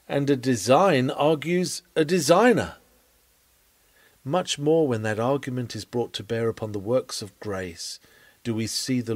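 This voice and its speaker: A man reading an old sermon aloud in an oratorical preaching style, solemn, measured and emphatic. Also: mid-range voice, a little mellow, no room sound. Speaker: sermon reader.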